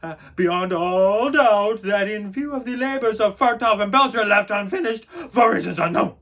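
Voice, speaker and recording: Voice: medium pitch, moderate pace, a bit bright, natural voice. An actor performing a wretched servant's absurdist stage monologue, declaiming pseudo-scholarly nonsense with stammering repetitions and swings between murmur and cry. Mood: pompous, halting. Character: Lucky, a wretched servant spouting frantic broken pseudo-scholarly rambling.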